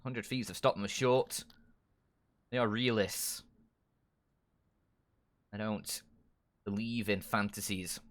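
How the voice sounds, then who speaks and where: A man doing play-by-play commentary on an esports match, an in his speech, building to excited shouts on big plays. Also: on the low side, slow, long pauses. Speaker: esports caster.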